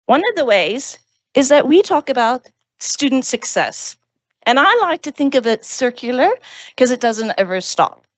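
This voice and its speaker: A woman's voice: high-pitched, no room sound. A woman leading a live orientation session, coaxing the audience through an activity on a handheld mic in a lecture venue. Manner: lively, animated, coaxing the audience; says she has a very powerful voice. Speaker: head of learning and teaching.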